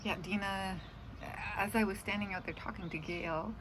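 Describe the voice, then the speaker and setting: A woman's voice: mid-range voice, steady tone, normal speed, noisy background. A middle-aged woman in casual, rambling chat about her day, drifting off into asides. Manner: meandering, trailing off into asides. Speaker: vlogger.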